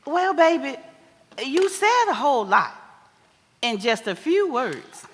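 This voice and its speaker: An actress playing an older American mother on stage, folksy, wise, teasing and blunt, with drawn-out emphatic phrasing. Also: sits high, very animated, background noise, full character voice. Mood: patient, advising. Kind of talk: stage play acting. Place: live stage in a reverberant hall. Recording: echoey theater hall.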